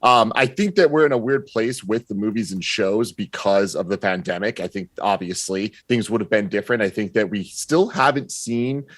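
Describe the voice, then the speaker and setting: A man's voice: lowish voice, moderate pace, hardly any pauses, dry recording. Man explaining things, dry close sound. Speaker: podcast guest. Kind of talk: casual chat, opinion and analysis.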